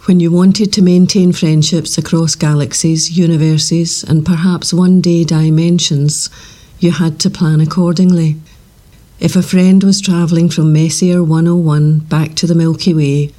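A man reading a comic science-fiction story aloud in a steady, unhurried, wry narrating voice. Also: a little high. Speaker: narrator reading a submitted manuscript. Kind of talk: measured, dry sci-fi comic narration.

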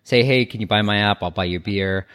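Chatty man giving quick app tips. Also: lowish voice, fairly bright tone, clean tone. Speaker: app marketing advisor. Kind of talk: casual advice call.

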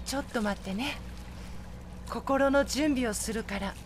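A woman performs a gentle food-truck vendor in an audio drama. Her voice is high and lively, and she talks slowly, with polite hesitation. Faint ambient noise sits behind her. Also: close to an everyday voice. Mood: nervous, tender. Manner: soft, polite, tentative. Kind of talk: Japanese audio drama acting.

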